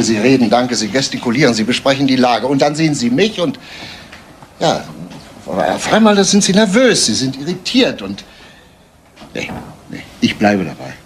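An actor as a persistent police detective, noisy recording. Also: male voice, mid-range voice, lightly acted. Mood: brooding, insistent. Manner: probing, insistent questioning. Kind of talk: German crime radio play acting.

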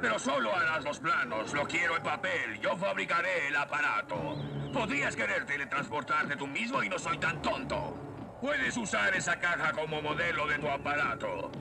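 A man's voice: fairly high pitch, sing-song, normal speed, vocal fry, over music. A man voicing a gloating, imperious skull-faced warlord sorcerer in a Latin American Spanish cartoon dub. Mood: gloating, commanding. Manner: mocking, imperious, theatrical.

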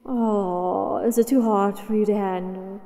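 A woman's voice: mid-range voice, steady tone, a little slow, noisy background, natural voice. Woman as a haughty dragoness in cavernous reverb. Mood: menacing. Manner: condescending, commanding, teasing. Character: possessive, haughty, mighty female dragon captor. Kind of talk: scripted roleplay audio acting.

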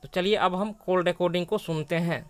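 A man's voice: high voice, brisk, heavy background noise. A man narrating an education news video as the channel host.

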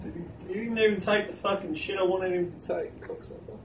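A man's voice: high voice, fairly even intonation, brisk. A man in his thirties with an Australian accent, talking in crude casual slang as a prank caller. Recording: distant phone-camera pickup with noise.

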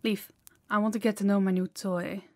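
A woman's voice: medium pitch, little pitch movement, normal speed, no echo, lightly acted. A woman voicing a harsh, dominant female demon in an intimate audio roleplay, with a haughty, commanding, taunting manner. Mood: taunting, possessive. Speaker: voice actor.

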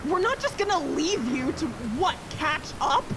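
Woman acting high, exasperated crew member. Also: sing-song, unhurried, exaggerated voice. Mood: indignant. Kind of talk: audio drama acting. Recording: loud rain and storm noise.

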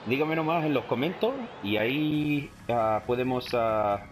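A man's voice: mid-range voice, a little slow, crowd noise. A man with English as his first language and a Chilean-tinged Spanish accent, casually commentating a football video game, hesitating often and switching between Spanish and English. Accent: Chilean Spanish, English-speaking learner. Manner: mixes Spanish with English, hesitant, frequent fillers; bursts of loud excitement at plays. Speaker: gaming YouTuber.

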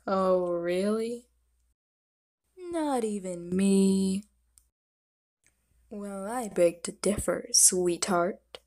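A woman plays a lovestruck wizard of darkness in an animated fan dub. He speaks slowly and softly, teasing and tender with his sweetheart. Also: mid-range voice. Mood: teasing, affectionate.

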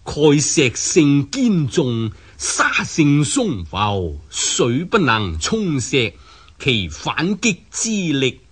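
Male narrator for classical recitation, husky tone, lively intonation. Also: a little high, drawn-out words. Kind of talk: slow dramatic recitation of a classical text.

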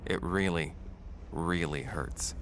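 An actor playing a world-weary soldier narrating a video game story in a wry, deadpan manner. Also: male voice, sits low, slow pace, creaky, a little hiss or hum, full character voice.

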